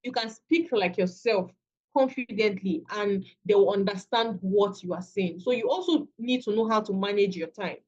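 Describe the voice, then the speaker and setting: A woman's voice: medium pitch, normal speed, muffled recording. Nigerian woman teaching a class. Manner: conversational, repeats and restarts phrases. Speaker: virtual assistant trainer. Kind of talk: masterclass lecture.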